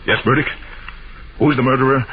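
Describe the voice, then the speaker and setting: An actor playing a level-headed, authoritative American private detective with a brisk, clipped delivery in old-time radio drama. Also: male voice, mid-range voice, steady tone, lightly acted. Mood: insistent, somber. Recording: very noisy, muffled vintage radio recording.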